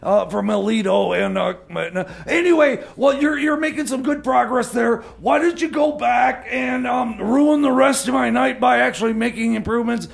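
High-pitched, gravelly man rambling on radio. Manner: halting, rambling, flustered. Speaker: radio music show co-host. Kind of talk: comedic radio banter and song back-announcing.

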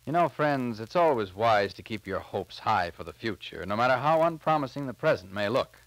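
A man's voice: medium pitch, lively intonation, normal speed, dry recording, low-quality recording. An American man announcing on 1940s radio, with a polished, emphatic sales delivery, recorded on a transcription disc.

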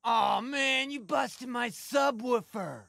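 Very gravelly, slow hot-rod car. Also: male voice, extremely high pitch, bright, forceful tone, exaggerated voice. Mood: whiny. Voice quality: gravelly, raspy. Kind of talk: animated video game acting.

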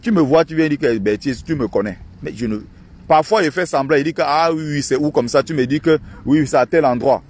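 A man's voice: a little mellow, a little hiss or hum. A man recording himself by a lake on a phone, ranting and mocking in an indignant monologue to his followers. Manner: indignant, ranting and mocking, quick shifts in pitch. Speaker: online activist and channel host. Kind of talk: outdoor vlog monologue. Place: outdoors by a lake in a park.

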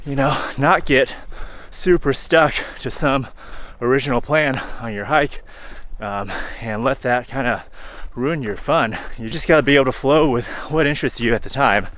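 A man in his forties, hot and sweaty, talking casually to a handheld camera while hiking outdoors, relaxed and rambling with fillers. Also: mid-range voice, moderate pace, hardly any pauses. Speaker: hiking vlogger. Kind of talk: casual vlog talk to camera. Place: outdoors on a forest trail. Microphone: handheld camera held at arm's length.

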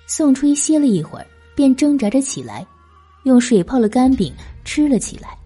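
A woman's voice: fairly high pitch, mellow, breathy edge. A woman reading scripted novel narration as an audiobook narrator.

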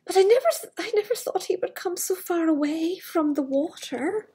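A woman's voice: very high voice, normal speed, warm tone, pitched above the performer's own voice. A woman voicing a young storybook princess, spoiled, anxious and squeamish, protesting fretfully.

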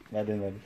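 A man in his thirties from the Philippines, a vlogger singing loudly and playfully through a vase like a megaphone in a large indoor home decor store, filmed on a phone. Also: on the low side, vocal fry, very noisy. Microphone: phone camera, often held at arm's length. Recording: roomy store echo.

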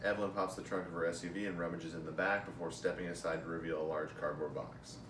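A man's voice: low-pitched, steady tone, fast talker. A young man reading aloud from a script in a room at home, picked up by a camera a few feet away. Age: young adult. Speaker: amateur reader of stage directions and a part. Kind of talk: script read-through. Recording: distant echoey room mic, clear noise.

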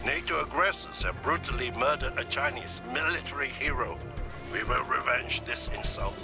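Male actor as Chinese colonel, stern, zealous, formal, grandiose, video game briefing, loud music behind. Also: medium pitch, normal speed, vocal fry, close to an everyday voice. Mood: stern resolve.

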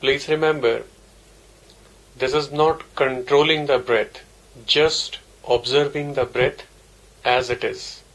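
A man giving guided meditation instructions as a teacher, in measured, simple, repetitive phrases. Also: mid-range voice, fairly even intonation, fairly bright tone, low-quality recording.

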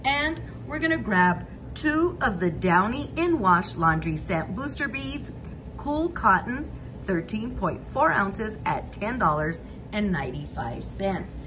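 A woman's voice: medium pitch, sing-song, slow pace, background noise. A woman hosting a couponing channel, walking through store deals in a methodical voice-over, price by price.

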